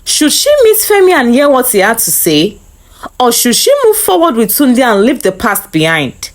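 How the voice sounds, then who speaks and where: A woman's voice: fairly high pitch, big pitch swings, normal speed. A woman reading a scripted dramatic story as narrator.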